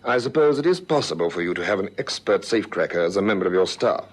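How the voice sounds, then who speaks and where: An actor playing a methodical, quietly authoritative police lieutenant, musing in deliberate clipped phrases in a vintage radio drama. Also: male voice, low voice, animated, husky. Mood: brisk, official. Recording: faintly noisy vintage radio recording.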